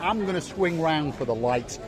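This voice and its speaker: A jokey, upbeat Welsh man with a fairly high voice chats his way along a harbour front as he films. The crowd around him is loud and clear: voices and bustle from a busy evening outdoors. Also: expressive, normal speed. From North Wales. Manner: jokey, upbeat, chatty with strangers. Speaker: vlog host. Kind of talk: walking vlog chat, guiding a camera. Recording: outdoor crowd chatter behind.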